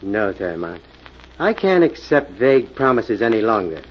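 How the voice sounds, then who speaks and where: An actor playing a hard-nosed, coolly menacing American gambling club boss in a 1940s radio drama, firm and skeptical, on an old recording. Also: male voice, on the low side, big pitch swings, moderate pace, muffled recording. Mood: skeptical, probing. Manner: firm, skeptical, tough-talking.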